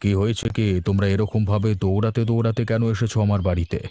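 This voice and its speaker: A man's voice: on the low side, level tone, fast talker, dark tone, lightly acted. A man playing a righteous, protective village headman in a fairy-tale cartoon, firm but kindly in manner. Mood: concerned. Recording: background music throughout.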